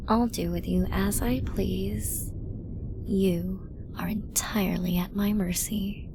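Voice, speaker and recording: A woman acts out a menacing, seductive female villain in an ASMR audio roleplay. She speaks slowly in a mid-range voice, teasing and dominant, often sinking into a breathy whisper. There is clear noise behind her. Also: mid-range voice, steady tone. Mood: possessive, teasing.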